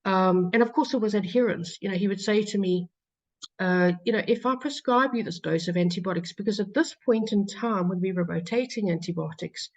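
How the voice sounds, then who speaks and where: A woman with a South African accent giving a conference talk as a patient advocate, pausing often with fillers and restarts. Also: medium pitch. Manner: hesitant, many fillers and restarts. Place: lecture hall. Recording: dull lo-fi sound.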